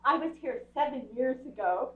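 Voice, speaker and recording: Bickering radio-comedy wife, romantic and chatty, played by a woman, high voice, echoey distant stage. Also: animated, moderate pace. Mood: cheerful, chatty. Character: chatty romantic wife who scolds her husband. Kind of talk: old-time radio comedy acting on stage. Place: theatre stage, before an audience. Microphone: distant camera. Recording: muffled, echoey theatre stage from far off.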